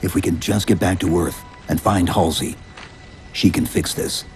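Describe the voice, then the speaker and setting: A man's voice: low-pitched, moderate pace, soft background music, close to an everyday voice. An actor playing a stoic, loyal male supersoldier in a cinematic video game, speaking tersely and with determination. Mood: concerned, insistent. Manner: terse, flat-toned commands, stoic.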